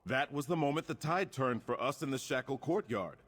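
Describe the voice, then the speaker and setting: An actor playing a solemn, loyal warrior comrade in a fantasy game, with a husky voice and formal speech. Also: male voice, mid-range voice, lively intonation, brisk, fairly bright tone. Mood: earnest, grateful. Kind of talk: fantasy video game dialogue.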